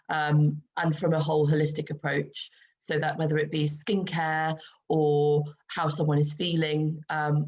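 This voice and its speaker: A woman in her forties with a croaky voice hosting an online video-call webinar, chatty and full of ums. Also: low-pitched, darkish tone, lo-fi sound. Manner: chatty, many ums, light laughs. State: croaky voice after throat infection. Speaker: webinar host and skincare expert. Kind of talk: casual online presentation.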